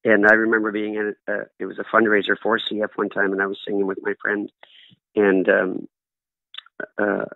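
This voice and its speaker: A man's voice: fairly low pitch, completely flat delivery, normal speed, vocal fry, dry recording. A middle-aged man, a guest telling stories earnestly in an interview. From Canada. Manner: earnest, storytelling with acted-out quotes. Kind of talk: conversational interview answers. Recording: dry, tinny phone-like line.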